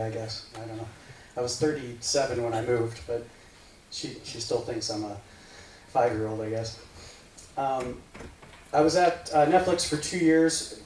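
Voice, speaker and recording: A man in his forties from the United States giving a casual meetup tech talk, rambling and full of fillers like "right, like". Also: mid-range voice. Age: 40s. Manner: rambling, filler-heavy, says "right, like" often. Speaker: meetup speaker. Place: meeting room. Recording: echoey room from far off, faint noise.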